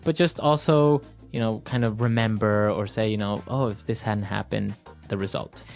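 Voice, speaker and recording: Relaxed male English teacher chatting. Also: fairly low pitch, moderate pace, gravelly, soft background music. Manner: relaxed, uses lots of fillers. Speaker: podcast co-host and English teacher. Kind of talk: casual teaching chat.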